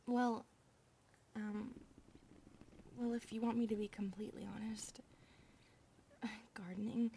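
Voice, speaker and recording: A woman voicing a timid, dutiful centipede maid in an ASMR roleplay, polite, soft-spoken and hesitant, often slipping into breathy whispers. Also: medium pitch, level tone, slow, long pauses, a little hiss or hum. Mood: shy, touched.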